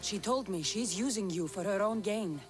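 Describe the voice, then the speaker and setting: An actress playing a blunt, protective mercenary heroine in a video game, direct and dry in manner. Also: female voice, medium pitch, normal speed, soft background music, natural voice. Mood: challenging. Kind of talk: video game cutscene acting.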